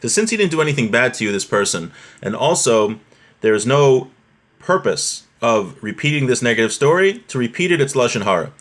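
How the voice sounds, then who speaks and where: A man's voice: mid-range voice, big pitch swings, brisk. A man giving an informal religious lesson, reading from a text and explaining it conversationally with everyday examples and check-in questions. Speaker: religious teacher.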